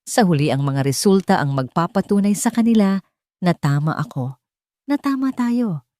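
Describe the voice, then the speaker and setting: A woman's voice: on the low side, expressive, normal speed, dark tone, no room sound, lightly acted. A woman voicing a kind, decisive wealthy businessman in a story, assured and earnest. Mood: firm, earnest.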